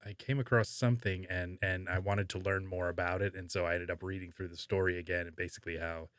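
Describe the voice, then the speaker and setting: Male podcast host, low register, casual and halting, dry close sound. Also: little pitch movement, fairly bright tone, dry recording. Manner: halting, thinking aloud. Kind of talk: casual conversational podcast talk.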